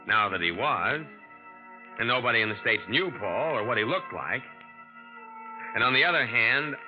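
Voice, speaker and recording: A man's voice: mid-range voice, natural voice. An actor playing a tough, streetwise young man who narrates his own scheme in a 1940s radio drama. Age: young adult. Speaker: drifter and narrator. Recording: muffled vintage radio recording with music.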